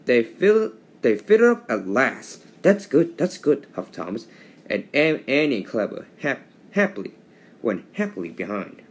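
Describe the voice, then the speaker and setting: A man reads a children's storybook aloud. His pitch moves around a lot, and he often trips over words and goes back to repeat them. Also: very animated, normal speed. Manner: stumbles and repeats words while reading. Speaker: storybook reader.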